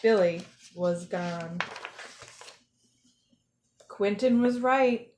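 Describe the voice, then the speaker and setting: A woman storyteller reading a picture book aloud for children, with careful, expressive phrasing. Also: medium pitch, drawn-out words, slight background noise. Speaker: storyteller, zoo educator.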